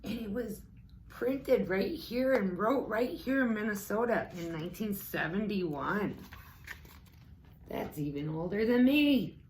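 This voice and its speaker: An American woman, middle-aged, reading a children's story aloud expressively and pausing to talk to her young listeners. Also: medium pitch, lively intonation, darkish tone, slight background noise. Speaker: teaching assistant reading to children.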